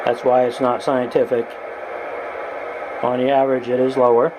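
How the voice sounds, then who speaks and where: A man giving a casual, halting, folksy hands-on demonstration as a radio hobbyist, from the United States. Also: medium pitch, level tone. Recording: noisy home video with radio sound.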